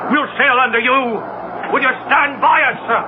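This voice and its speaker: A mutinous deckhand in a seventies radio play, pitched very high for a man. He is insolent, bitter and scornful, on a noisy old broadcast recording. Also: normal speed, narrow, tinny audio. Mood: bitter defiance. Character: defiant, bitter, mutinous rough sailor. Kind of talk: 1970s radio drama acting.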